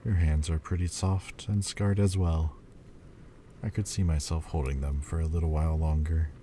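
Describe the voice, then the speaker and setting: A man voicing an ancient male demon lord, quietly confessing in a slow, halting way in an audio roleplay. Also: a bass voice, level tone, a little hiss or hum. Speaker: voice actor.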